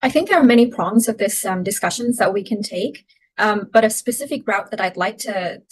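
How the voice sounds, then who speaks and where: A woman's voice: mid-range voice, rough recording. A young woman from Myanmar, raised in Thailand, speaking as an activist guest in a podcast interview, reflective and often restarting her phrases. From Myanmar (Rohingya from Arakan), raised in Thailand. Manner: reflective, many restarts and "you know". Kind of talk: personal storytelling in an interview.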